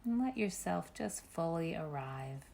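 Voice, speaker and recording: A woman meditation teacher leading a guided meditation with gentle, unhurried instructions and long pauses. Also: low-pitched, lively intonation, vocal fry.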